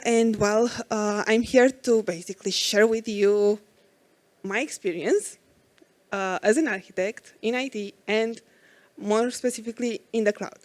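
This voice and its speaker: A woman in her forties from Romania giving a conference talk as an IT architect, hesitant with many fillers. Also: on the high side.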